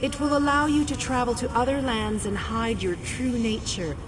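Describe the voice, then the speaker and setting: A woman's voice: fairly high pitch, steady tone, normal speed, noisy background, close to an everyday voice. A woman playing a regal, otherworldly goddess in a fantasy game, speaking in grave, formal proclamations. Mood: solemn, grave. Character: solemn otherworldly goddess, a regal guardian of light. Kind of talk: scripted fantasy game dialogue.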